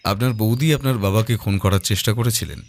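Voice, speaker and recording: A man's voice: on the low side, quick pace, close to an everyday voice. Composed, probing detective played by a man. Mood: calm, probing. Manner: courteous, direct questioning. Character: polite, probing male private detective, composed and persistent. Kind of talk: Bengali audio detective drama acting.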